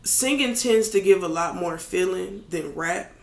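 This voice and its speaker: Raspy, gravelly man in an echoey room. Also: sits high, measured pace. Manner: relaxed, candid, loose with filler words. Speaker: podcast guest, rapper. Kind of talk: casual chat.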